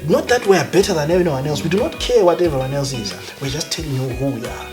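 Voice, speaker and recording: A man with a Zimbabwean accent, a guest academic talking emphatically and with passion in a relaxed interview. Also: fairly high pitch, quick pace. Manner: emphatic, passionate, mixes in Ndebele phrases. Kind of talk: conversational interview. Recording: background music. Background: music.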